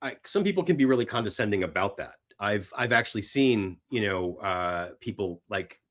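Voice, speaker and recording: A middle-aged man talking casually as a podcast guest, animated in his storytelling and repeating phrases for emphasis. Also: lowish voice, normal speed. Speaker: podcast guest, horror film screenwriter and actor. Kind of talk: casual film chat.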